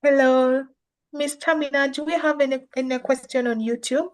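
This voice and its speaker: A woman moderating an online session, who thanks the speaker and checks the chat for questions in a brisk, polite way. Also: high voice, steady tone.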